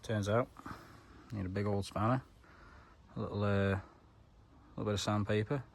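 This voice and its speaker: A man's voice: lowish voice, steady tone, moderate pace, gravelly, a little hiss or hum. A man giving a casual, matter-of-fact hands-on car tutorial, explaining things step by step in informal words. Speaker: DIY car mechanic vlogger.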